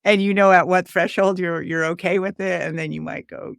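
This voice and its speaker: A woman in casual tech chat, informal and a little halting as she thinks aloud. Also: fairly low pitch, fairly even intonation, vocal fry, smooth, no echo, clean studio sound. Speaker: educator on a developer livestream.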